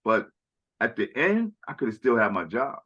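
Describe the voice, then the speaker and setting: A man's voice: medium pitch, big pitch swings, moderate pace, fairly bright tone, lo-fi sound. A man speaking in a casual conversational chat as a podcast guest, rambling and often tagging "right?" and "you know".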